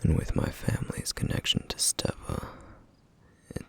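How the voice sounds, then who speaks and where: A man playing a gentle, duty-bound flame demon in an ASMR roleplay, speaking softly in slow, formal, wistful phrases with a rough, breathy voice. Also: natural voice. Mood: wistful, reverent. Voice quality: breathy, whispery, rough, gravelly. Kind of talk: ASMR roleplay, intimate soft-spoken monologue.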